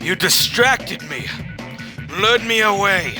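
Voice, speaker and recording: Guy doing a stern vengeful cartoon hedgehog, pretty high voice, intense, music behind. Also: slow pace. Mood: accusing. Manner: intense, accusing, commanding. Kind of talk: cartoon comic dub acting.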